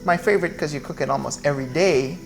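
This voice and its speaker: A man's voice: a little high, quick pace, creaky, soft background music. A man, the host's husband, joining a cooking video with playful, laid-back banter in the home kitchen. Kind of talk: casual family chat.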